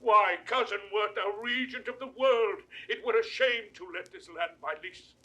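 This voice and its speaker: Dying old duke, high forceful voice, bitter declamatory classical verse. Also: male voice, moderate pace, muffled recording. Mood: grave reproach. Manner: declamatory, impassioned. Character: dying old nobleman, bitter, defiant, rebuking his royal nephew. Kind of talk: classical Shakespearean stage acting, 1960s audio drama.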